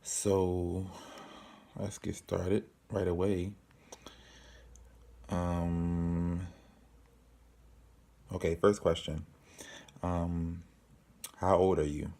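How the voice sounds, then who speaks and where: A young man in his twenties with a very low, gravelly voice and a dark, mellow tone. He speaks flatly and earnestly, pausing often with ums as he answers viewer questions for his channel. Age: 20s. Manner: slow, halting, many ums, earnest. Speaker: channel host. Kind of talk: casual Q and A to camera.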